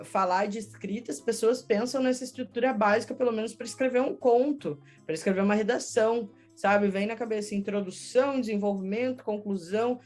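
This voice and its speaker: A woman with a southern Brazilian accent answering interview questions conversationally and explaining things, often adding tag questions. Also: mid-range voice. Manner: explanatory, frequent "né" tags. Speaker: schoolteacher, storyteller and researcher, interview guest.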